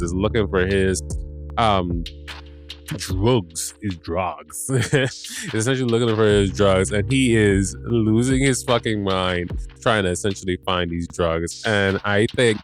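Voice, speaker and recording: A man hosting a casual recap podcast with a stuffed-up nose, rambling, correcting himself and swearing casually. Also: low-pitched. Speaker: podcast host.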